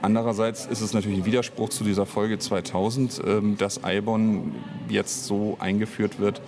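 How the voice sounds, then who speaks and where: A man giving unscripted interview answers in a rambling, halting way as a writer and director. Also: fairly low pitch, level tone, crowd noise. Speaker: interview guest, audio drama author and director.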